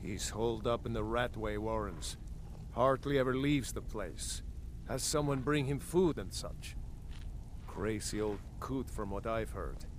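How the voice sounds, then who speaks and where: An actor playing a streetwise informant in a video game, with a casual, dry, colloquial manner on a noisy recording. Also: male voice, fairly low pitch, measured pace, close to an everyday voice. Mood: offhand. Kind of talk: video game character acting.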